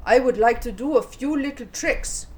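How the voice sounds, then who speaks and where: A woman voicing a sly, roguish man in a children's story, with loud, drawn-out showmanship. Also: fairly high pitch, a little hiss or hum, pitched above the performer's own voice, close to an everyday voice. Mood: showy, sly.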